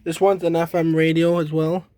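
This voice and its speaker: A man giving a casual, unscripted demonstration as a hobbyist, halting and trailing off mid-thought. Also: a little high, flat delivery, darkish tone. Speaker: hobbyist demonstrating a weather radio collection.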